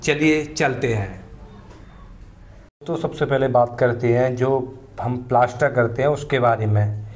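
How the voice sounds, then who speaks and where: A man's voice: medium pitch, darkish tone. A man in his thirties, a mason, casually explaining his building tools one by one while recording outdoors on a phone. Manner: plain, unhurried explaining, pointing at each tool in turn. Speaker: mason and channel host. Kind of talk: casual tool demonstration. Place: paved courtyard outdoors, tools laid on the ground.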